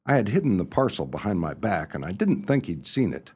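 Radio comedy lead: male actor as breezy young upper-class gent, easily rattled, swooping pitch, slightly husky. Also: very animated, fairly quick, a little mellow, full character voice. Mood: breezy narrating. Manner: breezy, slangy, exclamatory. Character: excitable, rattled young upper-class English gentleman narrator. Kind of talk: first-person radio comedy narration and dialogue.

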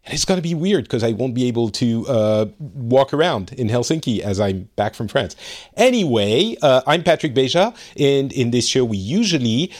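A man's voice: mid-range voice, big pitch swings, normal speed, a little mellow. A man hosting a podcast from Finland, friendly and chatty, with frequent fillers and light laughs. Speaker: podcast host. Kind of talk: casual interview chat. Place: home studio in Finland.

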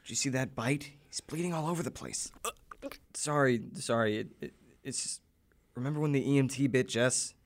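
A man's voice: mid-range voice, normal speed, close to an everyday voice. An actor playing an urgent, practical, take-charge teenage boy in a radio drama, bossy and slangy. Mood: hushed, worried.

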